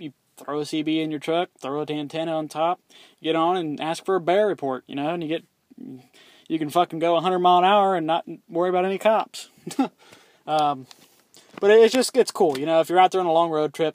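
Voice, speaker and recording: A man's voice: on the high side, no echo. A young man casually reviewing his CB radio, rambling with lots of ums and restarts. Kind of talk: casual product review vlog.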